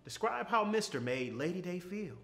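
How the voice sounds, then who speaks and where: An expressive man reads aloud for children in a slightly high voice. He takes his time and varies his pitch widely, with light music behind him. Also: vocal fry. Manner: measured, expressive. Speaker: story reader. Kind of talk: read-aloud storytelling for children. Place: small furnished room, indoors.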